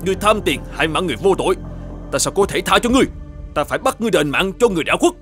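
A man's voice: high-pitched, sing-song, very fast, fairly bright tone, faint music behind. A man voicing a stern, shrewd governor in a folk-tale cartoon, speaking in a commanding, formal and accusatory way. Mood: stern, accusing. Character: stern, shrewd male governor judging a murder case.

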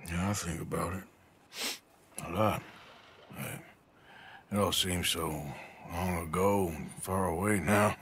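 An actor playing a rough American outlaw gunslinger in a video game, dry and sarcastic with understated menace. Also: male voice, low voice, fairly even intonation, slow, long pauses, fairly bright tone, slight background noise, full character voice. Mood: wry, wistful. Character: rough, sardonic, long-haired bearded outlaw gunslinger. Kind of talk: video game cutscene dialogue.

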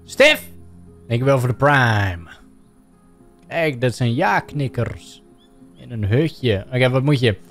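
A man's voice: medium pitch, animated, slow, long pauses, fairly bright tone, soft background music. A young adult Dutch man streaming a video game, playfully reading game choices aloud and joking between them. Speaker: gaming streamer. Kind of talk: livestream game commentary.

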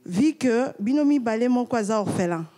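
A woman's voice: a little high, animated, moderate pace, mellow. A woman who heads a foundation asks a polite, courteous question at a press conference, speaking in a hall. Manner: courteous, encouraging, brief. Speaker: foundation head asking a question.

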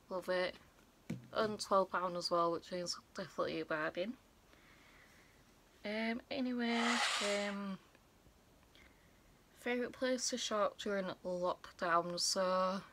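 A woman of twenty-one chatting casually to a phone camera in her bedroom as a vlogger, relaxed with many fillers. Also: medium pitch. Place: small bedroom indoors.

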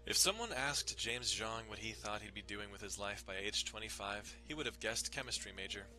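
A man's voice: lowish voice, expressive, moderate pace, pushed and bright. A young man giving a dramatic reading of a visual novel, narrating and tossing in casual, irreverent asides, with music behind him. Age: young adult. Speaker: dramatic reader.

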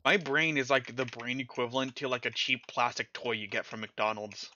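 A man's voice: mid-range voice, steady tone, normal speed, bright, forceful tone, highs cut off. A man streaming a game, chatting casually and mocking himself, with a slight stutter at times. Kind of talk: casual chat while playing a game.

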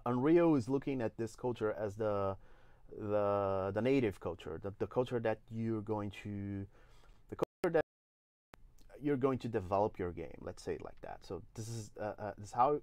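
A man in his forties from Brazil, speaking English with a Brazilian Portuguese accent, walking through a software demo step by step with many "uh"s and restarts. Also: mid-range voice, no room sound. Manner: explains step by step with frequent "uh" and restarts. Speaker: engine evangelist giving a demo. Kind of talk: live software tutorial. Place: indoor studio.

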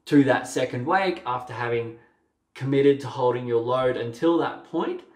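A young man giving waterski coaching over video, direct and encouraging, often saying "mate" and "sort of". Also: mid-range voice. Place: small room with a plain wall. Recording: roomy echo.

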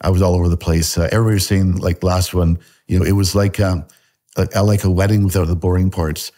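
A man's voice: sits low, flat delivery, quick pace, mellow. A man in his fifties from Canada, with a Canadian accent tinged with Scottish, chatting casually on a video call, rambling with lots of fillers and restarts. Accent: Canadian with a slight Scottish tinge. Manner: rambling, many fillers and restarts, laughs often. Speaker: guest artist. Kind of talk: casual interview chat. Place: home room at a desk. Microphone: video call webcam.

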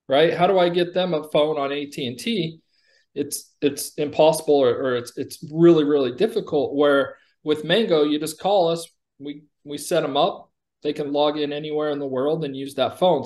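A middle-aged American man speaks on a video call as an executive being interviewed. He explains his product in a conversational pitch, step by step, often tagging points with a checking "right?". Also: normal speed, a little mellow. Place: indoor room, on a video call.

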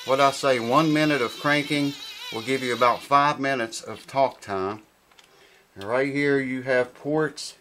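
A middle-aged man giving a casual hands-on review to camera, informal and unhurried, thinking aloud as he goes. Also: medium pitch, fairly bright tone, slight background noise. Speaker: product reviewer. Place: small cluttered indoor workshop room.

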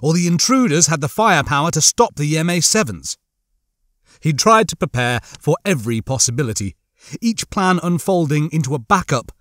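A man's voice: fairly high pitch, lively intonation, normal speed, fairly bright tone, no room sound, clean studio sound. A man reading a story aloud as an audiobook narrator, with dramatic scripted narration.

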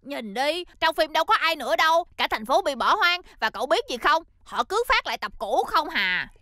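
A woman's voice: high-pitched, sing-song, normal speed, pushed and bright, dry recording, studio quality, exaggerated voice. A woman voicing a casual young cartoon boy. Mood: dismissive. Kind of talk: cartoon dub acting.

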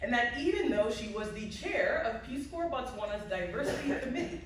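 A woman's voice: on the high side, very animated, fairly quick. A woman speaks from a podium in a big hall, accepting an award in a formal, emphatic way and driving home her points by repeating them. She is heard from far back in the audience, with heavy echo and a faint hum of the room. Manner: emphatic, repetitive rhetorical build. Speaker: award recipient giving acceptance speech. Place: large indoor hall at a podium, to a seated audience. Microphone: podium mic, heard from a phone in the audience.

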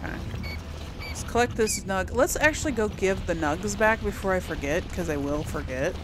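A woman's voice: medium pitch, animated, moderate pace, background noise. A woman hosting a casual let's play, chatting and thinking aloud as she plays. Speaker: gaming channel host. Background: game music.

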